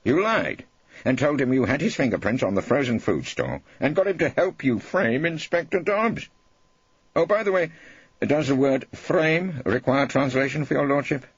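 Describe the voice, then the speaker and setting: A man's voice: medium pitch, animated, moderate pace, husky, dry recording, muffled recording, pitched above the performer's own voice. A man voicing a combative, irreverent old English barrister in an audiobook, theatrical and relentless, with sardonic cross-examining. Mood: hectoring. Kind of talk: audiobook character acting.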